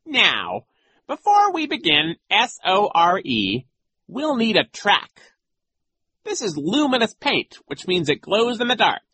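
A menacing storybook coach, sneers down at everyone in a strained high rasp. The pitch lurches wildly and the edge is sharp. He is impatient, condescending and commanding. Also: huge pitch swings, dry recording, exaggerated voice. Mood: bossy, menacing. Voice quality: scratchy. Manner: condescending, commanding, drawn-out words. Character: menacing, sneering, impatient male villain posing as gym coach.

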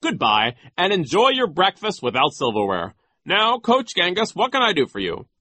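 A man's voice: wildly swooping pitch, moderate pace, bright, forceful tone, heavily acted. A man voicing a vain, pompous, giggly school vice principal in a storybook reading. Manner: shrill theatrical giggling, self-satisfied.

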